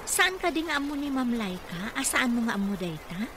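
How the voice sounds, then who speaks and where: A polite young employee from the province in a radio comedy-drama. Her voice is high. The recording is clearly noisy. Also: sing-song, brisk. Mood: polite, obliging.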